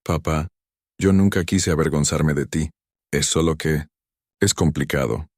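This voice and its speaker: A man doing a grown son who runs the company, pleading and hesitant in a storybook drama, his voice sitting extremely low. The sound is close and dry, with no room around it. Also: a little slow, no room sound, full character voice. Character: grown son, defensive young company director.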